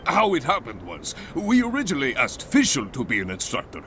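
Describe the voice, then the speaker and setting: A polite, businesslike guild official from a video game. His mid-pitched voice is sharp and very animated, with music underneath. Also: brisk. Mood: businesslike, concerned. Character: businesslike, courteous male guild organiser.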